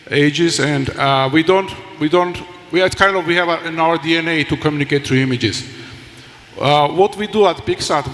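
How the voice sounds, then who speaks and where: A man on a conference panel whose first language is Armenian, talking in a halting way with many restarts. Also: on the high side, fairly bright tone. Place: large conference hall. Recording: hall reverb, faint noise.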